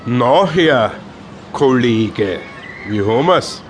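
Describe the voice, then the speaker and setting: An actor with a Viennese accent playing a gruff, suspicious, sarcastic homicide detective in a radio play. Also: male voice, medium pitch, expressive, takes it slowly, slight background noise. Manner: curt, sarcastic, probing. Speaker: police detective.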